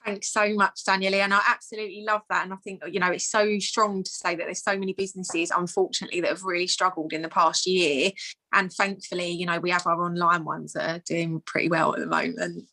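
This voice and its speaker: A woman's voice: lowish voice, little pitch movement, brisk, pushed and bright, poor audio. A woman hosting an online business call, upbeat and gushing as she introduces speakers. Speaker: host.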